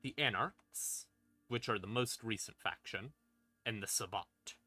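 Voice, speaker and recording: A man's voice: on the low side, unhurried, bright, forceful tone, no room sound. A man explaining lore on a stream in a casual, unscripted, irreverent way, swearing freely. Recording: background music under voice. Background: ambient music.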